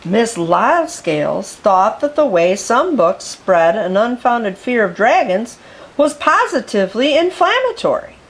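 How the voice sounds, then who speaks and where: A woman reading a picture book aloud to children in an expressive way, pausing to explain words. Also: on the high side. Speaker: storyteller.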